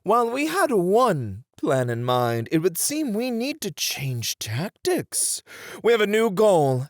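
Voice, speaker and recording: A man voicing a poised, courteous and patient etiquette teacher in an ASMR roleplay, speaking in a measured, formal, theatrical manner. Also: high-pitched, no room sound, studio quality, exaggerated voice.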